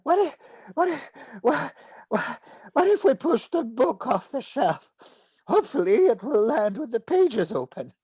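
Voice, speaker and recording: A woman acts out an imaginative little cartoon bug kid, eager and wide-eyed. The voice sits high and leaps about playfully. The delivery takes its time, in a dry, close recording. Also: sing-song, measured pace, a little mellow, breathy edge, dry recording, heavily acted. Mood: earnest. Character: excitable young bug child playing pirates, earnest and imaginative. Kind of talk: animation script table read.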